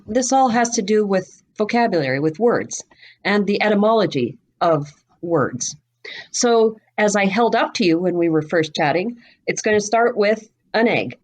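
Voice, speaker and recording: A middle-aged woman speaking as a guest expert on a podcast, explaining things informally and deliberately, with pauses between phrases. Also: medium pitch. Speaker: guest expert on mystery fiction, bookseller and writer.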